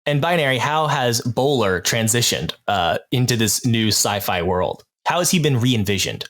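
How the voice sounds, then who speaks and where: A man's voice: mid-range voice, animated, moderate pace, a bit bright. Casual man hosting a chat. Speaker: podcast host interviewing the cast.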